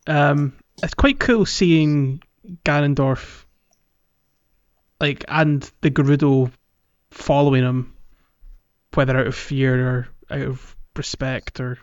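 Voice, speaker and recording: A man's voice: mid-range voice, fairly even intonation, takes it slowly, clean tone, dry recording, lo-fi sound. A man co-hosting a podcast in a casual chat about a video game. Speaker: podcast co-host.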